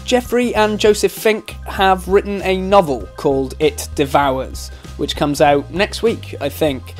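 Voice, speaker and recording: A man's voice: high-pitched, steady tone, a little slow. A man hosting a podcast, speaking solo in a conversational outro style. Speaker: podcast host. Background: guitar music.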